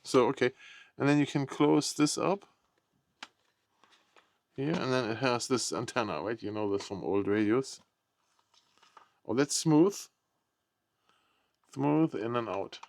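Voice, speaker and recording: A man's voice: medium pitch, takes it slowly, creaky, long pauses, no echo. A man giving casual, unscripted unboxing commentary, thinking aloud in short, tentative remarks. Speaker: product unboxing vlogger.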